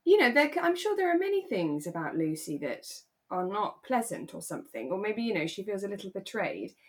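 A woman co-hosting a podcast, talking casually and giving her opinions gently, with hesitant restarts. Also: mid-range voice, very animated, brisk. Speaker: podcast co-host. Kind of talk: casual chat reviewing a radio drama.

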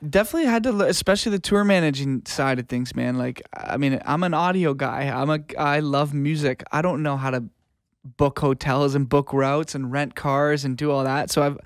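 A man's voice: a little high, normal speed, smooth, no echo, clean studio sound. A man talking casually as an interview guest, earnest and colloquial, like an audio engineer sharing experience.

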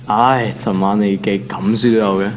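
An actor playing a young office worker who grumbles and answers back casually in a radio drama. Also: male voice, fairly low pitch, fairly even intonation, moderate pace, natural voice. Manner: grumbling, casual, dry retorts. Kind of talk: Cantonese radio drama acting.

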